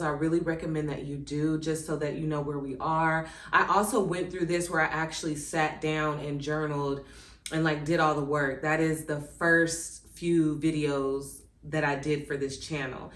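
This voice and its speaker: Woman in her 30s chatting to camera, low voice, lots of ums and likes, roomy echo. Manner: conversational, reflective, many "um" and "like" asides. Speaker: channel host. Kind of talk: casual reflective vlog, reading from a workbook. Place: indoors at home, seated. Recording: echoey room.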